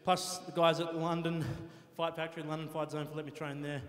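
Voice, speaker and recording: A man talks in a laid-back, easygoing way in a post-match interview. His voice sits a bit high. The sound is roomy, with a long hall reverb. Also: fairly quick. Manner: casual, easygoing, relaxed. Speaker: competitor being interviewed. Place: competition arena.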